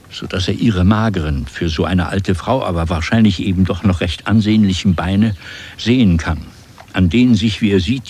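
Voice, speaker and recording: A man narrating a literary radio play in an expressive, dramatic storytelling manner. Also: deep voice, slight background noise.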